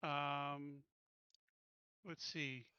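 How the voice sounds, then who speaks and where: A man's voice: fairly high pitch, level tone, very slow, bright, forceful tone, smooth, no echo, dull audio. A man chatting casually in an online tabletop game session, thinking aloud as he organizes things. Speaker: player assigning crew stations. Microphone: close computer mic, online voice call.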